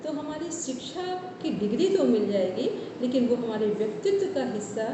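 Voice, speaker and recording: A woman's voice: a little high, animated, normal speed. A woman, a college teacher invited as guest speaker, giving a formal, flowing speech at an online event. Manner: formal, flowing, lists many words in a row. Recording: echoey room from a distance, noisy.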